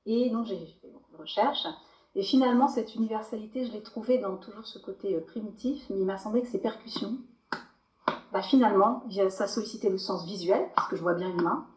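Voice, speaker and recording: French woman guest, mid pitch, rambling animated storyteller, roomy echo. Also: fairly quick. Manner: animated storytelling with many fillers and restarts. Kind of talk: casual podcast interview. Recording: echoey room.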